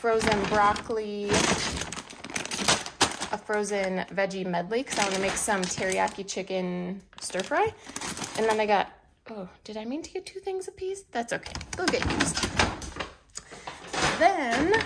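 A woman talks through her grocery haul in a relaxed, chatty way, with a gravelly, fry-tinged voice. It is a noisy home kitchen recording, with paper bags rustling and items clicking on the counter. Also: mid-range voice. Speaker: cooking vlogger. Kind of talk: casual grocery haul chat. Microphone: handheld camera pointed at the counter, speaker off screen.

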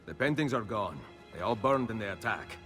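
Hooded Renaissance assassin played by a man: serious, curt, fairly deep, faint music behind, game cutscene. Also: expressive, brisk, lightly acted. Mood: grim. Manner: terse, serious, commanding. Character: terse, stern hooded Renaissance assassin, commanding and determined. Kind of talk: dramatic video game cutscene acting.

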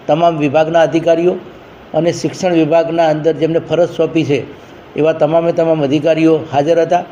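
A man's voice: on the high side, dark tone. A man speaking as an education official in an informal briefing, emphatic and repetitive in his phrasing. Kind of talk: informal spoken briefing to a news reporter. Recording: faint background noise.

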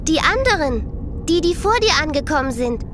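A woman's voice: high voice, big pitch swings, normal speed, music in the background. A woman playing an innocent, friendly and mysterious little girl of about eleven in a German audio drama, answering simply and secretively.

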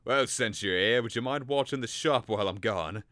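Wheezy grumbling shopkeeper, loud and flustered, as a man puts him on. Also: mid-range voice, moderate pace, no room sound, voice pushed up, lightly acted. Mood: agitated. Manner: wheezy, muttering.

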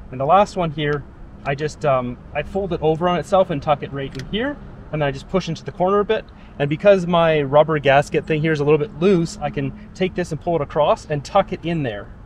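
A man's voice: on the high side, brisk, a little hiss or hum. A young man casually explaining a do-it-yourself car project outdoors, recorded on a handheld camera. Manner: relaxed, explanatory, with fillers. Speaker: vlogger. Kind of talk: casual how-to demonstration. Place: outdoors beside a parked car on a residential street. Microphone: action camera held at arm's length.

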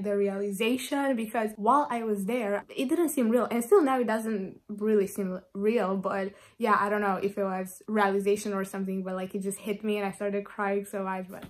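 A young woman chatting casually to her phone camera in a small room, rambling with lots of "like". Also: medium pitch. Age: young adult. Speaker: vlogger.

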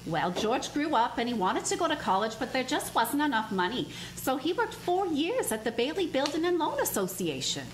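A woman as an expressive senior angel narrator. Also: high voice, moderate pace, hardly any pauses, slight background noise, rough recording. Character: senior angel, the boss, storytelling. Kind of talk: radio play narration.

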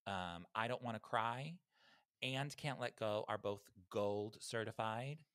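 Deep-voiced, campy male podcaster. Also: slow, fairly bright tone, no room sound, clean studio sound. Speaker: podcast co-host. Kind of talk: casual chat about pop music news. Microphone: close podcast microphone, video call.

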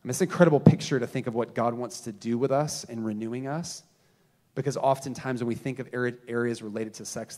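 Pastor in his thirties, earnest preaching, swings loud to hushed, average pitch, live on a church stage. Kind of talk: sermon. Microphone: handheld mic.

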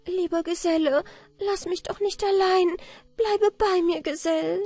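A woman voicing an emotional, warm-hearted fairy-tale heroine in a heartfelt, exclaiming way. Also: high-pitched, unhurried, voice pushed up. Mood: pleading.

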